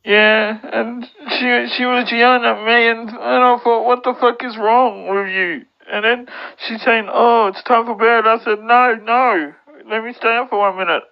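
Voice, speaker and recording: A person in their twenties, upset and venting casually in a halting, repetitive, plaintive way, with some swearing. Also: mid-range voice, steady tone, creaky. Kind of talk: casual venting over radio. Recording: dull lo-fi walkie-talkie audio.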